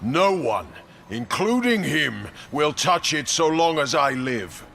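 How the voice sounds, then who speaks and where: A stern warrior commander speaks slowly and forcefully. He is defiant and contemptuous, every word emphatic, as in a game cinematic. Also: a little high, big pitch swings, creaky, slight background noise, exaggerated voice. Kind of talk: dramatic game cinematic acting.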